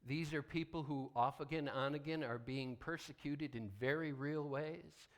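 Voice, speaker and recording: A man preaching a sermon as a pastor, deliberate and emphatic, with dramatic pauses and hushed drops. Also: on the high side, fairly even intonation.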